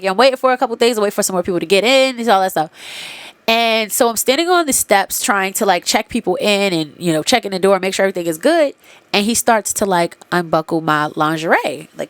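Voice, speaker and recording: Congested woman podcaster, swears a lot, animated storytelling, dead dry sound. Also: mid-range voice, no echo. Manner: candid, profane, animated retelling with quoted dialogue. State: congested nose. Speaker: podcast host. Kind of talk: casual storytelling monologue.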